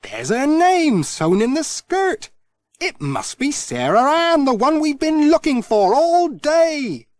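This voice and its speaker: Friendly, earnest village postman, played by a male reader, very animated and lifted in pitch, children's storybook. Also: slow pace, no echo, heavily acted. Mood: excited. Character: Pat, cheerful kindly male village postman.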